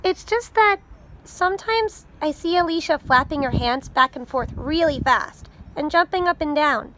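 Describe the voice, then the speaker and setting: A woman's voice: sits high, animated, normal speed, fairly bright tone, slight background noise, voice pushed up, rough recording. A woman voicing a curious, eager young schoolgirl in a storybook, wide-eyed and full of questions. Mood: concerned. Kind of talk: storybook read-aloud character voice.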